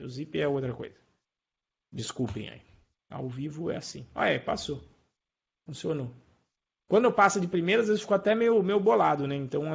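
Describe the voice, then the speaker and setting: A Brazilian man gives an informal live programming lesson in a fairly high, gravelly voice. He explains in a colloquial way and often checks that his listeners follow. The recording sounds dull and lo-fi. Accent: Brazilian Portuguese. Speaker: programming teacher. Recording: dull lo-fi online stream.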